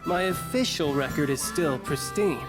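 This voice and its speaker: A man's voice: on the high side, expressive, moderate pace, over music, close to an everyday voice. A man playing a proud, competitive young champion jockey in a game cutscene, formal and boastful. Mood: defiant.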